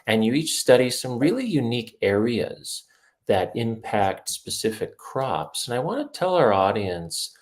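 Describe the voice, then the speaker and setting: A man hosting a video interview series, introducing guests in a measured way and correcting himself now and then. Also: mid-range voice, very animated. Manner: measured, reads sponsor lists, self-corrects. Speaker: host, editor.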